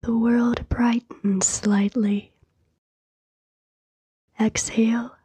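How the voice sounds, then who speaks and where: Very slow, breathy whispering woman. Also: a little high, level tone, no room sound. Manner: hushed, soothing, unhurried with long pauses. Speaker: guided sleep meditation narrator. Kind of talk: whispered, scripted sleep meditation narration.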